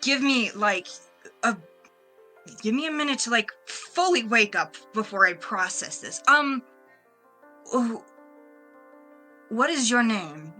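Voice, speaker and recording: A woman voicing a just-woken, blunt and baffled spaceship captain in improvised tabletop roleplay, halting and exasperated. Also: fairly high pitch, expressive, pushed and bright, faint music behind, full character voice. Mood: groggy, baffled.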